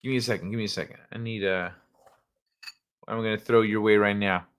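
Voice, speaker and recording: A man's voice: fairly low pitch, steady tone, fairly quick, fairly bright tone, clean tone. A man hosting a live stream, chatting casually and rambling with jokes and swearing. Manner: rambling, joking, profane, laughs often. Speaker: livestream host. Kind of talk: casual live-chat talk.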